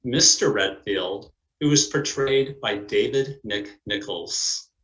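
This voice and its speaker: A man narrating and announcing in a formal, measured, scripted way as a presenter. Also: lowish voice, fairly bright tone, reverberant, low-quality recording. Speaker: narrator and presenter of a community play. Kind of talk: scripted narration and cast introductions.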